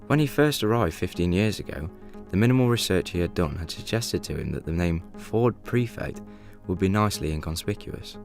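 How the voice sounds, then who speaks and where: A young man playing a wry, all-knowing guidebook narrator in a radio play, with measured, deadpan delivery. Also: deep voice. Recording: music bed under the voice.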